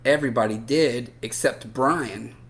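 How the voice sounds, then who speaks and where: A man reading a picture book aloud to children in an expressive, animated way with drawn-out emphasis. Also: medium pitch, slight background noise. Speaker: children's read-aloud storyteller.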